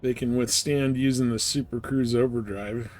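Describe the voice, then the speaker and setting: A man's voice: medium pitch, steady tone, moderate pace, a little mellow. A man chatting casually and thinking aloud as he livestreams a game in a laid-back way. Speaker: game streamer. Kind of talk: casual livestream gameplay chat. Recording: faint background noise.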